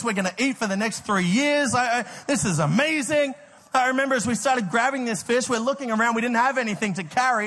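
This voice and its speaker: An Australian man preaches with a high, bright-toned voice that rings out in a big reverberant hall. He tells his story with energy, stumbles over repeated words and laughs at his own jokes. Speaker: pastor. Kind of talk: sermon with personal storytelling.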